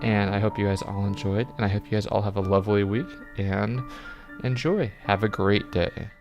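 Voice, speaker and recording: A man's voice: on the low side, normal speed, lo-fi sound. A man narrating a scripted video essay review as the channel's host, with emphatic, wordy phrasing that leans on repeated words. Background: background music.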